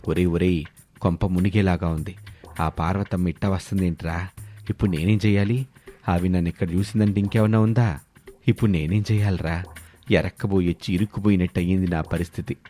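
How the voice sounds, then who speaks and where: A man voicing a gruff, scornful, scolding village man, haughty and greedy, in a moral story. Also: deep voice, fairly even intonation, a little fast, warm tone, soft background music, voice pushed down. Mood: panicked. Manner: scolding, threatening.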